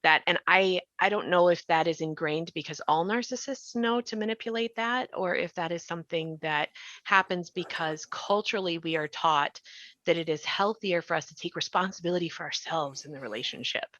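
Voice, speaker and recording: A woman with a fairly low voice explains things in a panel discussion, speaking as an advocate. The recording is dry and a little dull, with the top end muffled. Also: brisk, a bit bright, no echo.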